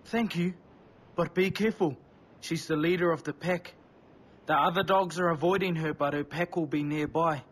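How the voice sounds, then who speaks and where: An actor playing a worried, earnest island villager in a video game, with a husky voice and anxious, plain-spoken manner. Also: male voice, a little high, fairly even intonation, moderate pace, a bit bright, slight background noise, close to an everyday voice. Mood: earnest, cautious. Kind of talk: video game quest dialogue.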